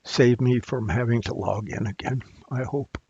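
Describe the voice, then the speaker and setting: A man presenting an unscripted technical screencast tutorial, thinking aloud in a halting way as he goes. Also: mid-range voice, a little mellow, slightly rough, muffled recording. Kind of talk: unscripted software screencast walkthrough.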